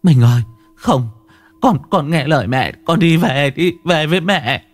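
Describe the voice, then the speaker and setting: A man's voice: fairly high pitch, lively intonation, a little slow, no echo, faint music behind, pitched above the performer's own voice. A man voicing a distraught elderly mother and timid wife, pleading and stammering tearfully in a dramatic audio story. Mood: desperate pleading.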